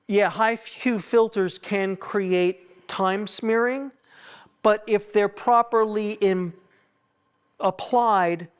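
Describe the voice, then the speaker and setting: A man leading an informal technical workshop, thinking out loud in a halting, self-questioning way with explanatory asides. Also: high voice, clear, resonant tone. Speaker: acoustics consultant leading a tuning workshop.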